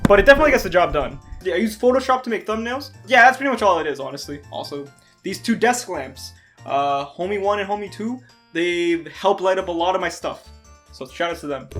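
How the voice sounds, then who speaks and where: A man's voice: sits high, faint music behind. A twenty-year-old man from Canada, an animator answering viewer questions in a casual, jokey vlog recorded on his bed. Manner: energetic, jokey, quick asides. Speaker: stop-motion animator and channel host. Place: bedroom, on a bed. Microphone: handheld recorder.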